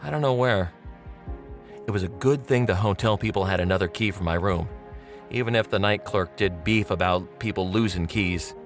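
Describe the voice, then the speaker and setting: Gravelly, sardonic dead ex-con. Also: male voice, low-pitched. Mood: wry, griping. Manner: slangy, wry, talking straight to the listener as a pal. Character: sardonic dead ex-convict telling his own murder story. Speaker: actor playing the narrator of a radio mystery. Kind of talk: old-time radio noir monologue.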